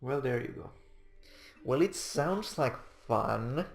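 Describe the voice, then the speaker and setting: Gravelly young man gaming. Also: mid-range voice, animated, takes it slowly. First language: Finnish. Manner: joking, rambling, laughs often. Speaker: gaming channel host. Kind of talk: casual let's-play commentary. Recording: echoey room.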